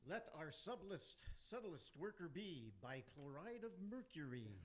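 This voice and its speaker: A man's voice: fairly high pitch, sing-song, unhurried. A man playing a scheming dead Roman emperor in an amateur rhymed radio play, theatrical and declamatory. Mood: gleefully wicked. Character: scheming dead Roman emperor Caligula in hell, gleefully wicked.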